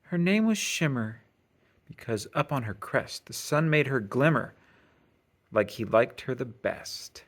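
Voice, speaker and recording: A man's voice: mid-range voice, big pitch swings, unhurried, fairly bright tone. Man reading a children's storybook aloud. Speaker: storybook reader. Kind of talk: expressive children's read-aloud narration.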